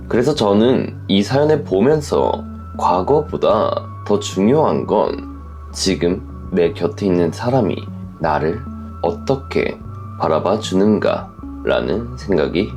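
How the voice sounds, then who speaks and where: A man with a low, gravelly voice presents scripted relationship commentary as a host. He speaks formally and reflectively, with noticeable rise and fall in his intonation. Faint music plays underneath. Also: a little mellow. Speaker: host of a relationship story channel. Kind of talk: scripted advice commentary to camera or listeners.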